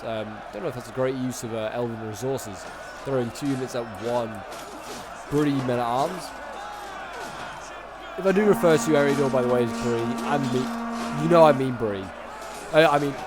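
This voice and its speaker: A man with a sore throat, slightly hoarse, giving casual, rambling commentary on a strategy game battle with lots of fillers. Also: medium pitch, background noise. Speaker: gaming YouTuber casting a multiplayer battle.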